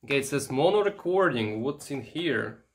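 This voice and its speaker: Guy doing a casual show and tell, kinda halting, thinking out loud, bit of noise behind him. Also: medium pitch, lively intonation. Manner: halting, musing aloud while handling items. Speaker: vintage electronics vlogger.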